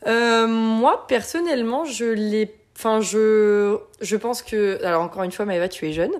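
A woman's voice: mid-range voice, measured pace, darkish tone. A woman of twenty-four in a casual conversation, asking questions with hesitant fillers.

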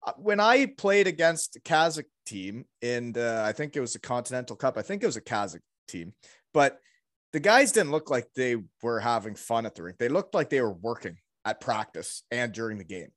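A man's voice: mid-range voice, very animated, moderate pace, fairly bright tone, no room sound, clean studio sound. A man hosting a casual, conversational interview, informal and given to jokey interjections. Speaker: interviewer, host.

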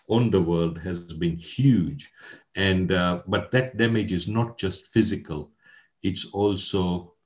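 A man in his sixties from Kenya, a guest academic in an interview, speaking in a measured, earnest way with frequent hesitations. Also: low voice. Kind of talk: conversational interview.

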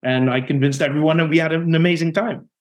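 A man's voice: on the high side, fairly even intonation, quick pace. A man from the Netherlands with a Dutch accent, a podcast guest giving long, reflective answers about his sales career. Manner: reflective, talks in long explanatory runs. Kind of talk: podcast interview.

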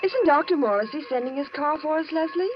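An actress playing a fretful, uneasy wife in an old-time radio horror drama. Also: female voice, sits high, animated, quick pace. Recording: muffled, noisy old radio recording.

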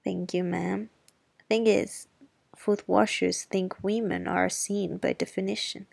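A sharp-tongued middle-aged neighbor, read by a woman narrator, speaking with a gravelly, husky edge. Her talk is dry, grim and very slow, and the storybook reading sounds close and dry. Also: mid-range voice, fairly even intonation, dry recording, exaggerated voice. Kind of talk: audiobook character reading.

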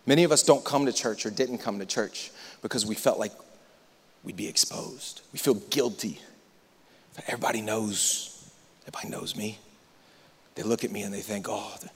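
A young adult man from the United States preaching a sermon, moving between loud, lively passages and hushed, confiding ones. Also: fairly low pitch, slightly rough. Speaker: pastor. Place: large church hall. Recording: church hall reverb.

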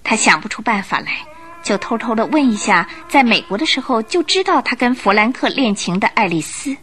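A woman narrating a radio drama in an expressive, emotive storytelling style from a script. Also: on the high side, fairly quick. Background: music.

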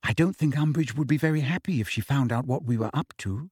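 A man's voice: mid-range voice, fast talker, darkish tone, breathy edge, no room sound, clean studio sound, natural voice. A man voicing an earnest, bossy teenage girl who lectures her friends. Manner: eager, lecturing.

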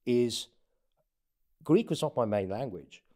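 A man speaking as an interview guest in casual anecdotal talk, often halting and repeating words as he goes. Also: mid-range voice, lively intonation, mellow. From born in North Africa, has lived in England and the United States. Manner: halting, stammered repetitions of words. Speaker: interview guest, former presidential candidate.

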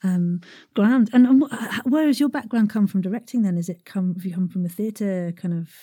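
A woman hosting a casual interview, enthusiastic and quick to affirm what she hears. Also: mid-range voice, mellow, dry recording, clean studio sound. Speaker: podcast host.

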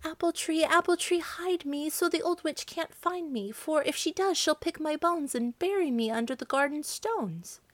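A woman voicing a kind little girl begging to be hidden, in a high pleading voice. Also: moderate pace, hardly any pauses, dry recording, natural voice.

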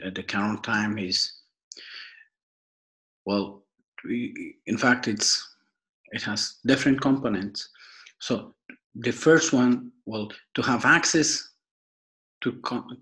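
A young man from Afghanistan with an Afghan accent, giving a prepared podcast introduction in a formal, halting way, often repeating and rephrasing. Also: mid-range voice, rough recording. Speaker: podcast host and foundation worker.

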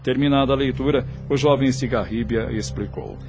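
A man's voice: mid-range voice, brisk, a little mellow. A man with a Brazilian accent narrating a radio drama in a formal, literary, scripted style. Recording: music under the voice.

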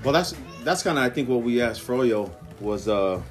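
A man's voice: mid-range voice, expressive. A man from Samoa who moved to California, chatting casually in a group and chiming in with jokes. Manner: jokey, quick to chime in. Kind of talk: casual joking group chat. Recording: music behind the voice. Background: music.

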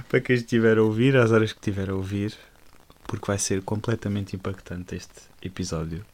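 A man's voice: on the low side, fairly even intonation, moderate pace. A man hosts a casual podcast interview in a friendly back-and-forth. He laughs often, stumbles over his words, and circles back to restart his questions. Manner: laughing, hesitant, many fillers and restarts. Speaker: podcast host.

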